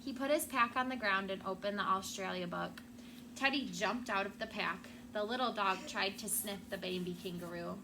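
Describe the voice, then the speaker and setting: A woman's voice: medium pitch, moderate pace. A woman schoolteacher reading a storybook aloud to children. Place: classroom. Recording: clear background noise.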